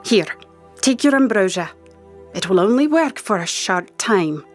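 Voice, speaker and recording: A woman's voice: mid-range voice, lively intonation, measured pace, soft background music, natural voice. A woman playing a brusque, commanding older magical mentor who scolds in an audio drama. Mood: brusque, scolding.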